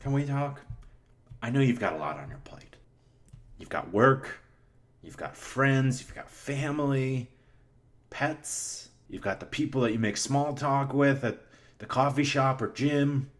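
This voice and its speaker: Man with very animated comic ad delivery. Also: mid-range voice, unhurried. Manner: mock-earnest, tongue-in-cheek. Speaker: host reading sponsor ad. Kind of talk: comedic podcast ad read.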